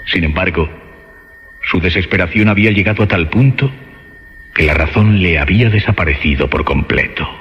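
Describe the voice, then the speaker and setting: Low-voiced theatrical man narrating horror. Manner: theatrical, suspenseful, emphatic with dramatic pauses. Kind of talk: dramatic horror radio play narration. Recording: dull lo-fi audio under music. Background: dramatic music.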